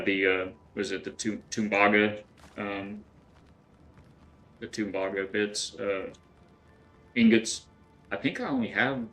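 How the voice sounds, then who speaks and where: A middle-aged man from West Texas talks through his game in an easygoing, rambling way, full of fillers and restarts. His voice sits low and has a gravelly rasp to it. Soft game music runs faintly underneath. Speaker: game streamer. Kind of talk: casual gameplay chat with chat readers.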